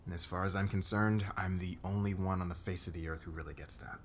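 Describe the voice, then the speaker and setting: A man playing a demanding, defensive boss with a hot temper and a hidden crush, halting and intense, in an ASMR romance roleplay. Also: low voice, fairly even intonation, slight background noise. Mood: pushy, insistent.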